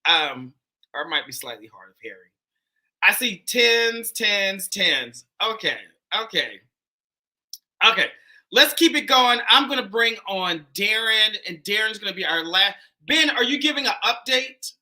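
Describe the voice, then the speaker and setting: A man with a Pittsburgh accent hosts a live call-in dating show, loud, animated and chatty. His pitch swings widely, and his tone is very bright and forceful. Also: measured pace. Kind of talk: casual live-stream chat.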